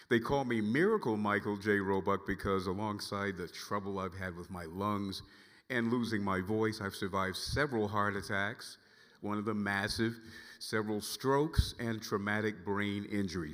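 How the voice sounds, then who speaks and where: A man's voice: fairly low pitch, pushed and bright. A man in his sixties, a former radio host, giving an earnest, grateful speech at a gala. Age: 60s. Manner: grateful, earnest, thanks the applause. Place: large banquet hall. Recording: long hall reverb.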